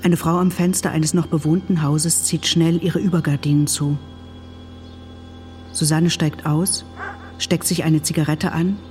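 A woman's voice: low voice, a little mellow. A woman narrating an audio play, reading scene directions in clipped, descriptive phrases.

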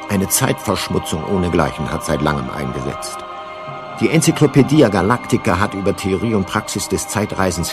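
A man narrating a comic science-fiction radio play in a measured, dryly ironic, literary manner. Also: low-pitched.

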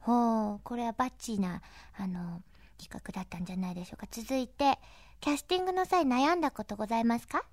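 Female interviewer and DJ. Quick, animated, courteous chat, dry studio-like sound. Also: a little high, no room sound. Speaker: interviewer and DJ host. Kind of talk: chatty, polite radio-style interview hosting.

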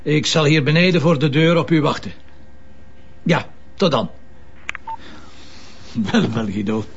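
An actor with a Flemish accent playing a wary police commissioner in a naturalistic radio drama, dry and colloquial. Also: male voice, fairly high pitch, lively intonation, a little slow, gravelly, husky, exaggerated voice. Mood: brisk, bemused. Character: male police commissioner, wary and dryly polite. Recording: dull, band-limited radio drama recording.

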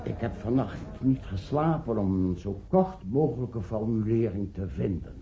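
A man acting an earnest, diplomatic professor in a Dutch radio play, speaking in formal, measured oratory. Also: fairly low pitch, a crowd in the background, natural voice. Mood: conciliatory. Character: earnest, diplomatic professor pleading with scientific colleagues. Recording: dull, lo-fi old radio recording.

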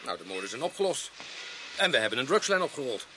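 A man's voice: medium pitch, very animated, fast, background noise, lightly acted. An actor playing a chatty, cheeky policeman in a radio drama. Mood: jovial, teasing. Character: chatty, cheeky male policeman, keen to celebrate.

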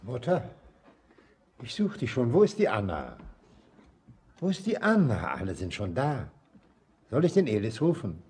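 An anxious father in a radio play. His voice is very rough and husky, at an ordinary male pitch, and it swings widely as he calls out in agitation. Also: wildly swooping pitch, measured pace, pauses often, a little mellow, exaggerated voice. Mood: anxious, urgent. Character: anxious father calling for his daughter Anna. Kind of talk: German radio drama.

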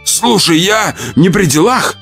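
Man playing a panicky surgeon, swooping pitch. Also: animated, a little slow, vocal fry, fairly bright tone, breathy edge, soft background music, full character voice. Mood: scared, defensive. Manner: panicky, defensive. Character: frightened, self-excusing surgeon caught up in a cult.

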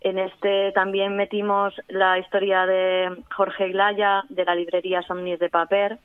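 A woman talks in an interview with a crisp voice that stays fairly flat in pitch, chatty and quick to laugh. The sound is thin and phone-like, and the room is dry. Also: no echo. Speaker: radio documentary producer. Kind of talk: interview talk. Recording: phone-like line.

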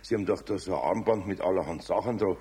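An actor playing a good-natured, patient older master carpenter in a radio play, gravelly and husky, speaking conversationally with a Bavarian accent. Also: male voice, sits low, quick pace, mellow. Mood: polite.

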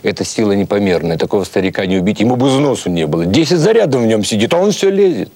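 Man acting a gravelly, excitable Red Army soldier. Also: fairly low pitch, rapid-fire. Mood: awed, excited.

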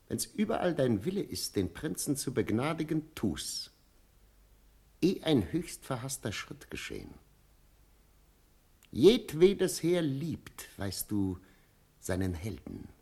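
An actor playing a loyal senior officer urgently addressing his ruler in a classical verse radio drama, husky and earnest, with dramatic pauses. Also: mid-range voice, heavily acted.

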